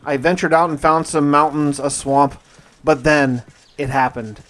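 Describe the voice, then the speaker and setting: A man's voice: fairly high pitch, little pitch movement, unhurried, fairly bright tone. A man narrating his survival gameplay as a casual first-person story, with dramatic turns and colloquial asides. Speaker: gaming video host and narrator.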